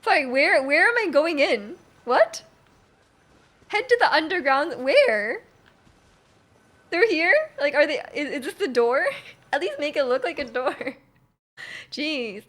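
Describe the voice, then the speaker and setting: A woman's voice: sits high, expressive, a little slow, long pauses, fairly bright tone. A young woman streamer reacting casually to gameplay, with exasperated, slangy commentary. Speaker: game streamer.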